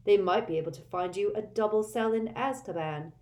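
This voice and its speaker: A woman's voice: medium pitch, brisk, a little mellow, roomy sound, voice pushed up, lightly acted. A defiant teenage wizard boy from a storybook, mocking and bold. His voice rises in sarcastic taunts, as a woman reader plays him.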